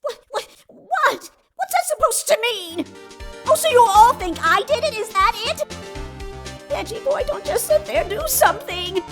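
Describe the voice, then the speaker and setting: Crabby old woman guard, super high voice, swoops around, blustery and scolding, game music behind. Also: sing-song, a little slow, exaggerated voice. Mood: outraged. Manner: blustering, stammering outrage, scolding. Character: cantankerous, indignant old woman security guard, chatty busybody. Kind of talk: dubbed courtroom comedy game acting.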